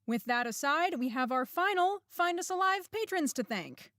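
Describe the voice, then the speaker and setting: A woman with a fairly high voice gives a podcast introduction, speaking directly to listeners as the show's creator. The recording is close and dry, with very little room sound. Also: lively intonation, moderate pace, fairly bright tone, no room sound. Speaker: writer, director, producer and voice actor of an audio drama. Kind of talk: podcast introduction and patron thanks.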